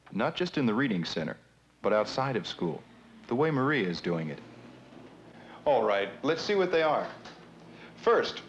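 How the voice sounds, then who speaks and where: A man's voice: on the low side, highs cut off, slight background noise. A man narrating an instructional film, speaking to the viewer in a didactic, scripted way. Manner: didactic, addresses the viewer directly. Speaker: narrator and presenter of an educational film. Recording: 1970s educational film soundtrack, music behind. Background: music.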